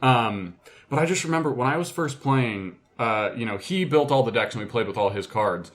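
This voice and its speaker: A man's voice: medium pitch, expressive, normal speed. Casual man chatting on a podcast. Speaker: podcast co-host. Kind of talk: casual chat, storytelling.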